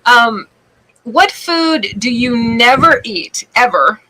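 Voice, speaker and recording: A woman hosting a casual interview show from her bed on a laptop, excitable and gushing, often stumbling over restarts. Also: on the high side, slow pace, low-quality recording. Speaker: talk show host. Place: bedroom.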